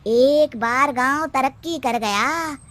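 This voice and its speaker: A woman playing a cunning male adviser to a village headman in a cartoon, sly and flattering in drawn-out words. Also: high voice. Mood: sly, scheming.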